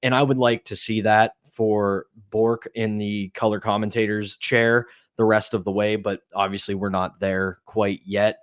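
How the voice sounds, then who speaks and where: Low-voiced man talking sports, dry sound. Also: flat delivery, a little slow, hardly any pauses, smooth, no room sound. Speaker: podcast co-host. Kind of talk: sports talk podcast.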